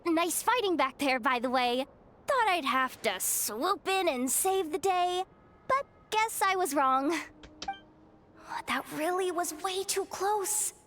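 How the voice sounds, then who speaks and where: A woman voicing a small, excitable female game companion with a squeaky, childlike voice and an exclamatory manner. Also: slow, slight background noise, heavily acted. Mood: chatty, knowing. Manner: exclamatory, animated. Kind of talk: video game character dialogue.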